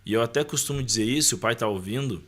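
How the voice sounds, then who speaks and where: A man's voice: medium pitch, quick pace, fairly bright tone. A man with a Brazilian accent and a mid-range voice talks as a guest on a podcast. He speaks informally, in the manner of someone sharing a personal testimony in conversation, often adding small tags to check that the listener follows.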